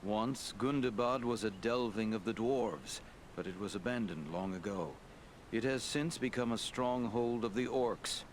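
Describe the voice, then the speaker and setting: A wise and courteous lord from a fantasy game, played by a man. His voice sits fairly low, with formal, measured phrasing and a grave manner. There is faint rumbling behind it. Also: a little hiss or hum, lightly acted. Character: wise, courteous male lord counselling young heroes. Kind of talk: dramatic fantasy game acting.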